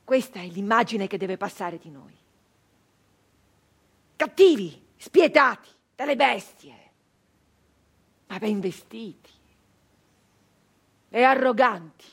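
A bitter wounded outlaw woman from the 1800s, high voice, halting stage monologue, really intense. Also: lively intonation, vocal fry, slightly rough, heavily acted. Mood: bitter, indignant. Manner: intense, halting delivery with repeated phrases. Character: captured 19th-century brigand woman, wounded, bitter, doomed. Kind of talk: dramatic theatrical monologue.

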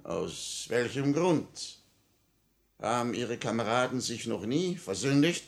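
A man's voice: mid-range voice, lively intonation, slow pace, vocal fry. A man acting a smugly courteous, manipulative police minister with mocking politeness in a 1950 radio play. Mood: coolly threatening. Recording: vintage 1950 radio recording.

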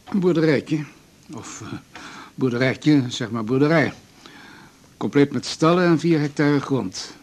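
An actor playing a correct, fussy notary in a 1980s radio drama, speaking in a formal, measured and slightly pedantic way. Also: male voice, fairly high pitch. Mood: formal, unruffled.

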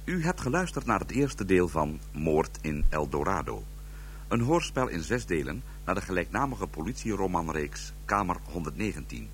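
A man's voice: deep voice, big pitch swings, moderate pace, a little hiss or hum. A man making a formal scripted announcement of credits as a radio announcer.

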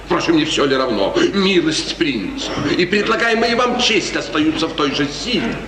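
An actor playing a smooth, scheming courtier in a florid, insinuating, falsely friendly manner, in 1950s radio theatre style. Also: male voice, on the high side, very animated, normal speed, recorded from a distance, a crowd in the background. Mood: pressing, insinuating. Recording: noisy echoey 1950s radio drama recording.

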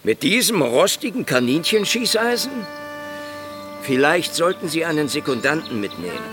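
An actor playing a sly, mocking private detective with dry mock courtesy in a German radio drama. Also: male voice, a little high, very animated, moderate pace, over music. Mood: mock-courteous, coaxing. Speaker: lead actor in a radio play.

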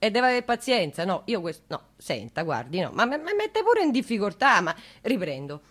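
A woman's voice: medium pitch, big pitch swings, moderate pace, a bit bright, breathy edge. An actress playing a flustered, chatty woman witness in a radio drama, rambling and interrupting herself defensively. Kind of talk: naturalistic radio drama monologue.

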